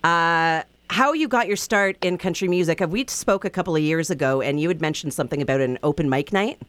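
A woman's voice: fairly low pitch, brisk, no room sound. A woman co-hosting an interview show in a conversational presenting style. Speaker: show co-host.

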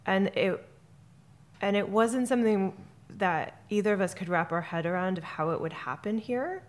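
A woman in her forties co-hosting a podcast, eager and excited as she chats. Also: mid-range voice, normal speed. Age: 40s. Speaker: podcast co-host. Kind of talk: casual podcast chat.

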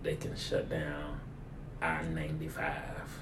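A man's voice: extremely low pitch, completely flat delivery, slow. A man who is a pastor, talking casually through his sermon ideas and repeating phrases for emphasis. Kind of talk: casual conversational discussion of a sermon. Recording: roomy echo with clear background noise.

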